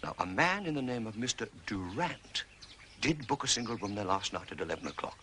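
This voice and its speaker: A deferential manservant in a period drama. His voice is fairly low yet bright, with very lively intonation over faint background noise. He is formal and precise, with dry theatrical relish. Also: a little fast. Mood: matter-of-fact. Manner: formal, methodical, dryly theatrical. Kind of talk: British television period drama acting.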